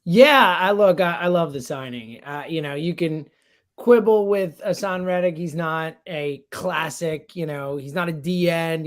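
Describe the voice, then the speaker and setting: A fired-up man, a sports radio guest, giving emphatic, conversational commentary. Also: sits high.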